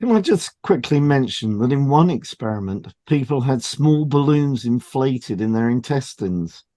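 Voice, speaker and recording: A man with a mellow, relaxed voice and mid-range pitch reads scripted material as a podcast co-host. His delivery is measured and explanatory, suited to an educational programme.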